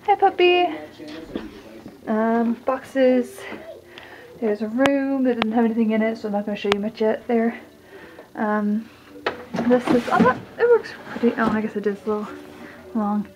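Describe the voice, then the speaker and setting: A woman's voice: fairly high pitch, lively intonation, normal speed, pauses often. A young woman giving a casual, rambling tour of her house while filming on a handheld phone, with distracted asides as she walks from room to room. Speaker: vlogger. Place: house, indoors, walking room to room. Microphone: handheld phone camera at arm's length. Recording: muffled phone audio with handling noise.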